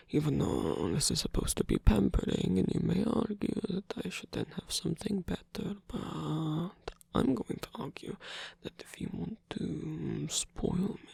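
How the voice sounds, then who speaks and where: Man acting a husky whispering, flirtatious lover. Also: fairly high pitch, darkish tone, dry recording, exaggerated voice. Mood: playfully stubborn. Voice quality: breathy, husky, often whispered. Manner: teasing, murmured, hesitant pauses with hums. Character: flirtatious theatrical male rock singer, tired, playfully indulgent lover. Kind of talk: ASMR romance roleplay, intimate and unhurried.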